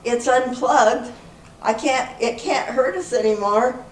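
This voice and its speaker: Woman playing older, earnest, excitable comedy character; higher-pitched, slow delivery, amateur stage reading. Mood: sheepish. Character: earnest older woman, apologetic, increasingly exasperated in comic sketches. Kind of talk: amateur readers theater comedy. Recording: echoey room, faint background noise.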